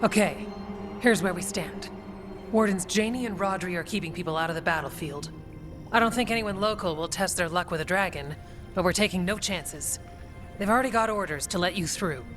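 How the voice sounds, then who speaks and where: A woman's voice: fairly low pitch, a little fast, lightly acted. Woman playing a frank, weary warrior officer, music behind. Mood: businesslike. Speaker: Warden officer giving battle briefing. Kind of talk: video game voice acting.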